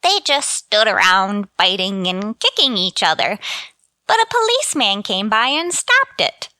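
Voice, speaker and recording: A woman's voice: mid-range voice, sing-song, measured pace, bright, forceful tone, no echo. An actress playing a chirpy, scatterbrained, motherly landlady in a scripted radio comedy, in a sing-song, absent-minded manner. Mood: earnest, scatterbrained. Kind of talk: scripted radio sitcom acting.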